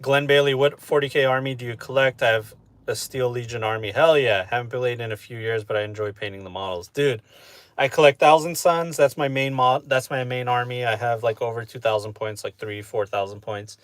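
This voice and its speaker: A man chatting casually with his live-stream audience, relaxed and talkative, often asking "you know what I mean?". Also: mid-range voice, fairly quick, no echo. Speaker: streamer answering chat.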